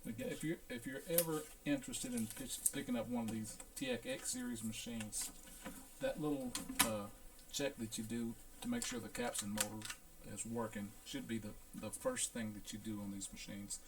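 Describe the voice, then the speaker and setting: A man talking through a hands-on equipment check as a repair technician, in a casual, unhurried, halting way, thinking aloud. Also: medium pitch, very animated, gravelly, noisy background. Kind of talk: casual hands-on demonstration narration.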